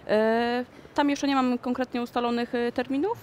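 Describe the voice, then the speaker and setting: A young woman, a dance choreographer, answering interview questions in a conversational way with frequent pauses, recorded in a shopping mall. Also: on the high side, steady tone, a little hiss or hum.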